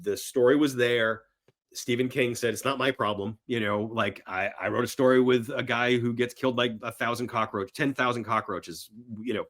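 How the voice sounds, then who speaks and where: A man as a podcast guest chatting casually and enthusiastically about films, telling stories in an animated, emphatic way. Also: medium pitch. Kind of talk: enthusiastic casual film chat.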